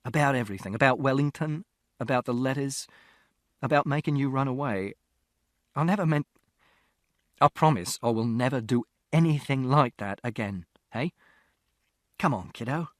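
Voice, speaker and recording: A man reading aloud who voices a furious, desperate father, shouting and swearing, then pleading. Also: medium pitch, moderate pace, long pauses, no room sound, studio quality, natural voice.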